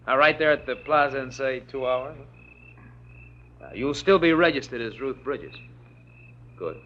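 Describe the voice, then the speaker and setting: A man's voice: medium pitch, expressive, a little slow, natural voice. A man playing a smug, scheming blackmailer in a 1940s radio drama, with an insinuating, slippery delivery. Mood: smug, threatening. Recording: dull, faintly noisy vintage radio recording.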